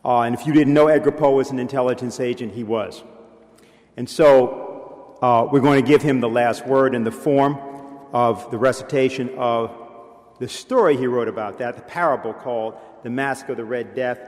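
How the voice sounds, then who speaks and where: A man's voice: medium pitch, moderate pace, a little mellow. Guy giving an informal talk at a meeting, mid voice, lots of hall reverb. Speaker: event speaker introducing a reader. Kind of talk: informal public address. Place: large hall before an audience. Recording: live hall with long reverb.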